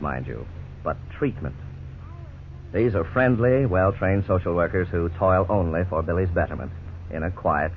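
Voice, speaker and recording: A thin, lightly noisy vintage radio recording carries a male actor as a lawyer-narrator. His low voice is earnest and didactic, with measured, scripted authority in 1950s style. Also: narrow, tinny audio, natural voice.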